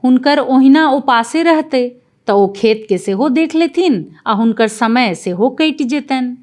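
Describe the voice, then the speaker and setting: A woman voicing a scornful, bossy young daughter-in-law in a cartoon, sharp-tongued, with a Maithili accent. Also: sits high, lively intonation, a little slow. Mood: bossy, impatient. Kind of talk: Indian cartoon dialogue.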